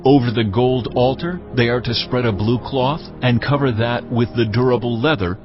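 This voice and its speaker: A man playing God in a dramatized scripture reading, giving solemn commands in a measured, authoritative, formal way. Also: medium pitch, close to an everyday voice. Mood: solemn, commanding. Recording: slightly muffled, music underneath.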